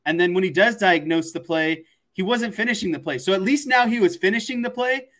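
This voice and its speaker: Male sports panelist, slightly high voice, conversational analysis with repeated words for emphasis. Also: fairly quick, fairly bright tone. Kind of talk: casual sports commentary.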